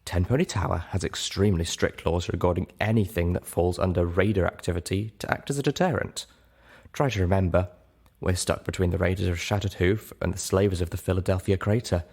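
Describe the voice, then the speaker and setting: A man voicing a composed, kindly female unicorn with a measured, articulate and firm delivery in an audiobook story. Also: low-pitched, creaky, darkish tone. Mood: firm, explanatory.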